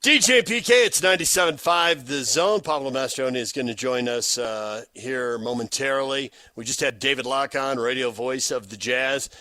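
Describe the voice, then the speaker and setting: A man's voice: medium pitch, normal speed, few pauses, sharp tone. A man hosting a sports talk radio show, talking conversationally and putting questions to guests.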